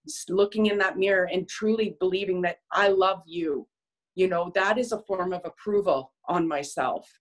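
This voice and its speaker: A woman's voice: fairly low pitch, moderate pace, low-quality recording. A woman casually sharing personal, motivational reflections with a group in a candid, conversational way.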